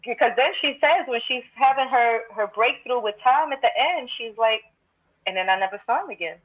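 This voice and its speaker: A woman's voice: a little high, a little fast. A woman from Brooklyn, a podcast guest, talking through her thoughts in a reflective, searching way over a remote call. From Brooklyn, New York, United States. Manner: thoughtful, reflective, talks through ideas with many restarts. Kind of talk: casual podcast conversation. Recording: tinny phone-like remote call line.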